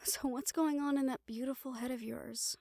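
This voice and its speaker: A woman's voice: high voice, fairly even intonation, moderate pace, dark tone, no room sound, studio quality. A woman playing a caring, teasing siren-banshee in an intimate audio roleplay, speaking with playful affection. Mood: concerned, tender. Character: teasing, caring female siren-banshee, fond of her partner.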